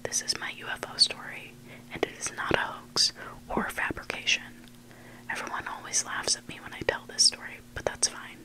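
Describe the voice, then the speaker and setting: A person reading stories aloud in a breathy, hushed ASMR whisper, close to the mic. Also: a little fast, poor audio. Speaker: host reading listener-submitted stories. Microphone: close mic, made for headphones.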